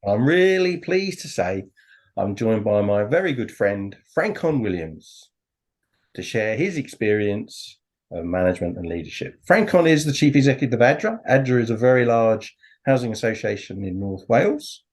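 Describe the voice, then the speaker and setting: A man hosting a business coaching podcast, opening the show in an upbeat presenting manner. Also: moderate pace. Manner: lively, upbeat presenting with wide pitch swings. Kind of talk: scripted podcast intro. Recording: faint guitar music behind. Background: faint plucked guitar music.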